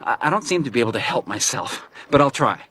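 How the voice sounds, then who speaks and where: A man's voice: mid-range voice, very animated, quick pace, noisy background, lightly acted. A man acting a younger, talkative hiker in a radio drama, nervy, probing and insistent. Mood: apologetic, insistent.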